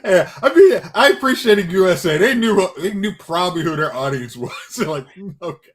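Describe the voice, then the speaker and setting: A man's voice: high-pitched, expressive, moderate pace. A man co-hosting a movie talk show in casual banter, with a teasing, playful way of talking.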